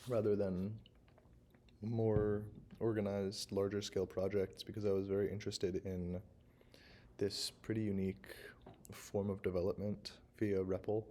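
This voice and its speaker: Froggy-voiced young guy, slow halting talk, pretty monotone, lowish voice. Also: slight background noise. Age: young adult. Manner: halting, unscripted, frequent pauses. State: hoarse, froggy sore-throat voice. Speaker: university student presenter. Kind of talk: recorded class presentation, screen walkthrough.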